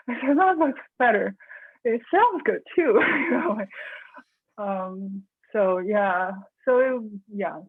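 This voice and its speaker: Young woman from China, composer guest, halting and chatty, husky tone over a phone-like line. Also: medium pitch, animated. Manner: halting, many restarts and soft laughs. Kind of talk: casual interview conversation.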